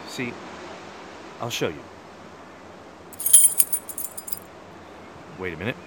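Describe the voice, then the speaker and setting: Proud male wall guard played by a man, defensive and emphatic, very animated pitch, noisy recording with hiss and rumble. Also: normal speed. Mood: suspicious. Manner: emphatic, argumentative. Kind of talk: one-act audio play acting.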